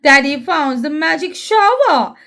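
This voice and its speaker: A woman's voice: sits high, animated, measured pace, fairly bright tone, exaggerated voice. A woman voicing a curious, easily amazed young girl pig in a storybook, speaking with eager wonder. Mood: delighted. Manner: eager questions and gasps.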